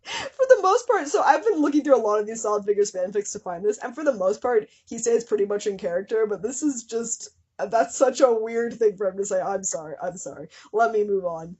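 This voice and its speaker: Slightly husky young woman, wryly amused. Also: medium pitch, lively intonation, brisk, a little mellow. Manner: amused, self-interrupting, mock-suffering. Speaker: host of a small channel. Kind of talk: casual comedic dramatic reading of fan fiction with asides.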